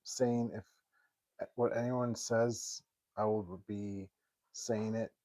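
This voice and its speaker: Low, mellow man from behind the camera. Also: flat delivery, slow pace, no echo. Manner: brief, low-key interjections. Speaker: cameraman and chat moderator. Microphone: off-camera, away from the mic.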